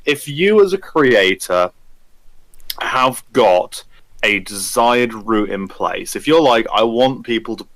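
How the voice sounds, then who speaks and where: A man with a fairly low voice talks casually in a group discussion, recorded dry and close. He is informal and hesitant, speaking as one of a podcast panel. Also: fairly bright tone, dry recording, poor audio.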